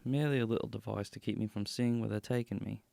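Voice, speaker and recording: Man acting a creaky, dry-witted gentleman detective. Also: fairly low pitch, steady tone, fast. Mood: shrewd. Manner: dry, clipped, wry. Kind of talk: radio drama acting.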